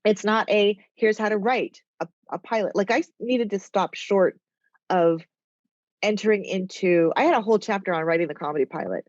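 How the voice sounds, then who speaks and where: A woman's voice: medium pitch, moderate pace, darkish tone, no echo, lo-fi sound. A middle-aged woman, a TV writer and former executive, talking as a podcast guest in a nasal, rambling, self-interrupting way. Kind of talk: casual conversational interview.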